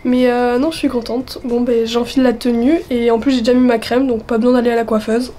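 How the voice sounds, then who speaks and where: A woman's voice: on the high side, level tone, brisk, mellow. A young woman in her twenties chatting in a casual vlog, her voice worn from a chest cold, upbeat and full of fillers. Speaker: vlogger. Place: at home, partly out on a terrace.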